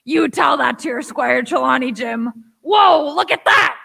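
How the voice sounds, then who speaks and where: A woman playing a half-mad, excitable marooned old sailor man with rustic pirate speech in a radio play. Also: sits high, measured pace, vocal fry, no room sound, exaggerated voice. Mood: excited. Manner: rustic pirate speech, exclaiming, repeating himself.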